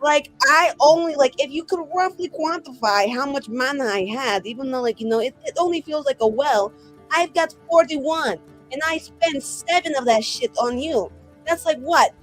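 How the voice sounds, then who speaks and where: A woman's voice: high-pitched, normal speed, faint music behind, natural voice, low-quality recording. A woman voicing a vain, exasperated woman mage in a tabletop game, ranting sarcastically and swearing freely. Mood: sulky, ranting. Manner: sarcastic, foul-mouthed ranting. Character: exasperated, vain, profane woman mage sending dream messages.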